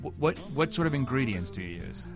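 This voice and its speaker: A man's voice: medium pitch, big pitch swings, brisk. A man hosting a radio show, interviewing a guest about music in a conversational style.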